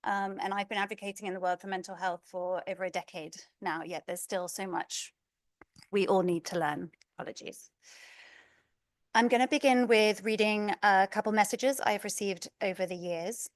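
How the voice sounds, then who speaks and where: A middle-aged woman with an English accent gives a prepared talk as a community speaker, earnest and measured, a little nervous. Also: mid-range voice, steady tone, no echo, studio quality. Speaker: community speaker, parent advocate.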